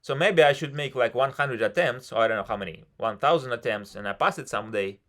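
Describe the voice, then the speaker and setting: A man's voice: mid-range voice, quick pace, a bit bright. A man from Russia speaking English with a Russian accent, as a non-native speaker chatting casually on a video call, frank and rambling with many fillers. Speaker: civil engineer. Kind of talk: casual conversation practice.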